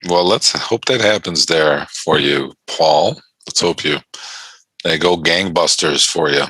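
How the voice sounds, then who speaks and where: A very deep-voiced man from Chicago talking slowly and easily, answering chat on his trading stream. His tone is bright and forward, and the sound is dry and close in a quiet room. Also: husky, no room sound, low-quality recording. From Chicago North Side. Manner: laid-back, laughs often, reads and answers chat. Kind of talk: casual live-stream chat with viewers.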